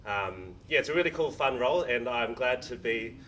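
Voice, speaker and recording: A man's voice: medium pitch, expressive, normal speed, bright, forceful tone. A man giving brief, casual and friendly remarks to an audience. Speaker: embassy representative. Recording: distant mic with faint noise.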